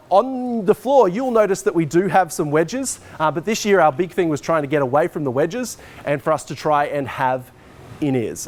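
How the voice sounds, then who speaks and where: A man in his forties giving an informal, explanatory walkthrough as a teacher, talking conversationally as he moves about. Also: a little high. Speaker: teacher, head of department giving a technical tour. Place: school hall stage and backstage, indoors.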